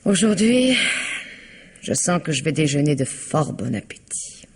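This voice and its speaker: An actress playing Eva, a taunting, contemptuous wife in a vintage French radio drama, with a husky, mocking delivery. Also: female voice, deep voice, sing-song, unhurried, darkish tone, heavily acted. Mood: cool.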